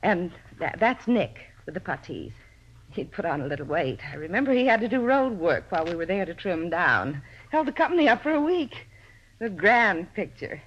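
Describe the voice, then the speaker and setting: A woman's voice: on the low side, sing-song, normal speed, a bit bright. An actress playing a touchy, self-important American landlady in a 1950s radio drama, talkative and defensive. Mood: fond, chatty. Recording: muffled old radio recording.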